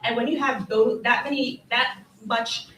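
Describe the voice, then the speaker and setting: A woman's voice: on the high side, fairly even intonation, unhurried, fairly bright tone, slight background noise. A woman giving conversational sports analysis on a panel, emphatic and repeating key phrases for weight. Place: live stage at a fan convention. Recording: echoey room, distant from mic.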